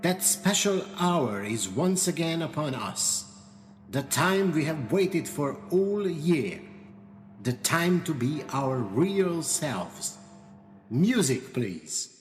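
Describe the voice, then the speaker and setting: A man's voice: on the high side, very animated, slow pace. A man playing an ominous, commanding cult leader with a theatrical, formal delivery in a radio play. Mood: ominous, ceremonial. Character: ominous, commanding male cult-like master of ceremonies at hotel. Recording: music behind the voice.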